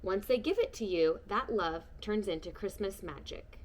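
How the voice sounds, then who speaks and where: A gentle, whispering old grandpa, as a woman reads him. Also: mid-range voice, lively intonation, moderate pace, lightly acted. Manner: hushed, often whispering.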